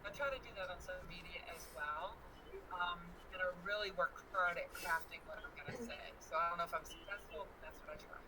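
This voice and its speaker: An adult guest in a discussion, speaking thoughtfully and carefully with many pauses. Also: mid-range voice, noisy background. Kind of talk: casual discussion.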